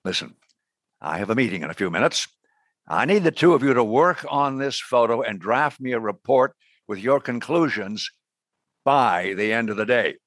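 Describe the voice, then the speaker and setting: A man playing a commanding American intelligence director in a radio drama, authoritative and deliberate. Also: medium pitch, expressive, fairly bright tone, no room sound. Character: brisk male intelligence agency director, commanding boss.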